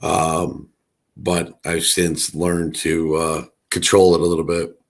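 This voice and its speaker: A middle-aged man with a raspy, hoarse voice from allergies, chatting casually in a rambling way with many fillers. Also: deep voice, flat delivery, slow. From Chicago area. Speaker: co-host. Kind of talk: casual livestream chat.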